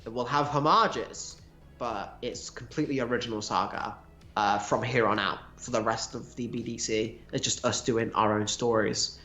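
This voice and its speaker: A man co-hosting a casual livestream, answering viewer questions with animated, laughing asides. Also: medium pitch, normal speed, echoey room, soft background music.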